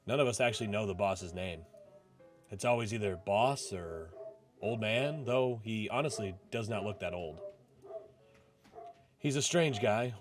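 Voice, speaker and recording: A man's voice: on the low side, close to an everyday voice. A man voicing a disillusioned, reflective male office clerk who narrates his own life in a visual novel. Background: game music.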